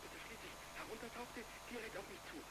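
An actor playing an anxious, hesitant travel writer obsessed with the wind, in a radio drama. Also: male voice, sits high, quick pace, natural voice. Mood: agitated, frightened. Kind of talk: radio play acting. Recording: noisy, humming telephone line.

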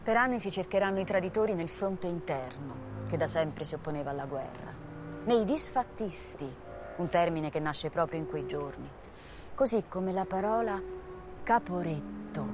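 An actress performing as an impassioned, bitterly indignant storyteller of a lost war, in theatrical, emphatic scripted narration. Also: female voice, on the low side, expressive, moderate pace, music in the background, lightly acted. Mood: bitter, grave.

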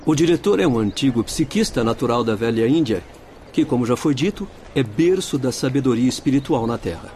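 A male actor plays a formal, serious guide in a radio play. He explains in a medium-pitched voice with a Brazilian accent, over faint ambient noise. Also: lively intonation, a little fast, a little mellow, natural voice. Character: serious, informative spirit guide at an asylum. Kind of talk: radio drama acting. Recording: faint outdoor ambience behind.

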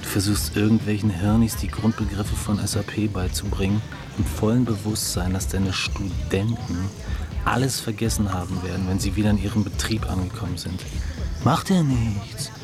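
Radio drama: man to play a needling, condescending tempter, low-pitched, pushy and natural, over music. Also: fairly even intonation, moderate pace, close to an everyday voice. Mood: scornful. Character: pushy, scornful male acquaintance, offering money temptingly.

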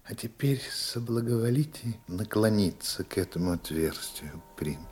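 An actor in a radio play playing a smooth, secretive, devout conspirator at court, speaking theatrically with solemn oaths and hushed urging. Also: male voice, lowish voice, animated, moderate pace, a little hiss or hum, lightly acted. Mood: conspiratorial.

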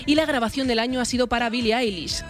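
A woman's voice: a little high, quick pace. A woman from reading a scripted radio news report on music.

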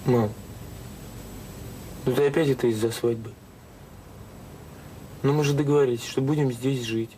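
Actor as young son, faintly noisy old tape. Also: male voice, mid-range voice, steady tone, moderate pace, pauses often, dark tone, lightly acted. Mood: reassuring. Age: young adult. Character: caring, attentive grown son about to marry. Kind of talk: Soviet audio drama acting.

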